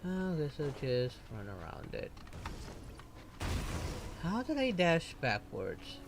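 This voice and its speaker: Creaky, animated grumbling game streamer. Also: mid-range voice, slow pace, background noise. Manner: grumbling, sarcastic asides. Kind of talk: casual game playthrough commentary. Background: game music.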